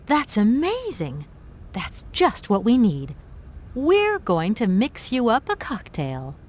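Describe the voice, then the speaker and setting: A woman's voice: a little high, wildly swooping pitch, slow pace, a little mellow, exaggerated voice. A woman playing a determined, polite young adventurer in a video game, speaking in an earnest, courteous way. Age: young adult.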